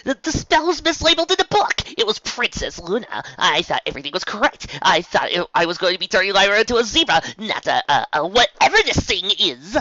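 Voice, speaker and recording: A man's voice: high voice, sing-song, fairly quick, hardly any pauses, sharp tone, no room sound, pitched above the performer's own voice, exaggerated voice. A man voicing a flustered, defensive female unicorn magician in a storybook reading, stammering excuses on a lo-fi recording. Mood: panicked. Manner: stammering, self-justifying. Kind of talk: acted storybook dialogue.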